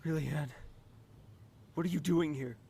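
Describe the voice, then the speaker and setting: A performer in an audio drama playing a traumatised young prince, pleading and despairing, with raw emotion and halting delivery. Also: mid-range voice, creaky, dark tone, slightly rough. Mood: subdued, withdrawn. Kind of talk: audio drama acting with inner monologue.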